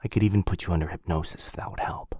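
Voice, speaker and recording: A man playing a gentle, protective vampire lover in an intimate boyfriend ASMR roleplay, tender and hushed, with a breathy, husky voice. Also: deep voice, steady tone, very fast, dry recording. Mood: hesitant, caring. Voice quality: breathy, husky, often whispering. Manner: tender, hesitant, reassuring, hushed. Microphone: close microphone.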